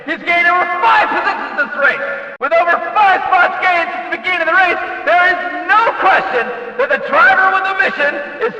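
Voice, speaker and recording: A man playing an excitable, showy race announcer in a video game, calling the action with emphatic, stretched-out delivery. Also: extremely high pitch, fairly quick, heavily acted. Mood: excited, hyped. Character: excitable, booming male demolition-derby race announcer. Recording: roomy echoing reverb, distant from mic.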